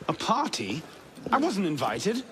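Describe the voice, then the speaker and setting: A man's voice: high-pitched, wildly swooping pitch, measured pace, a bit bright, a little hiss or hum, exaggerated voice. An actor playing a cocky, charming young Frenchman in a video game cutscene, with a flippant, wry manner. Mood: wry, casual. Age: young adult. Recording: music playing behind.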